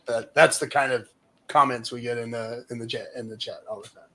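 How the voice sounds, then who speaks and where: A man's voice: mid-range voice, steady tone, brisk, fairly bright tone. A man hosting a casual livestream chat, talking in a rambling, loose way that drifts between topics. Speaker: livestream host.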